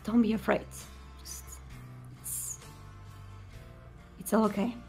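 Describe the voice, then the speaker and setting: Sad vampire prince, played by a woman, hesitant and wounded, halting speech with a creaky edge. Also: medium pitch, level tone, a little mellow, soft background music. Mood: hesitant, hurt.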